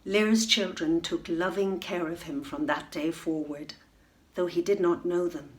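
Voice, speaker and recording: A middle-aged woman reading a children's bedtime story, unhurried and expressive, dropping to a hush at tense moments. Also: on the low side. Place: room at home, indoors. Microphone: webcam facing the reader.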